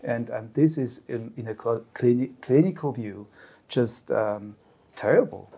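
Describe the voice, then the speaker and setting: Middle-aged male psychiatrist on a panel, mellow, earnest and reflective. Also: mid-range voice, a little slow, breathy edge. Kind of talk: panel discussion answer.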